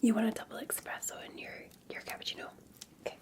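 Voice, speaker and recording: A Scottish woman in a gentle, unhurried ASMR roleplay, whispering breathily as a café barista talking to a customer.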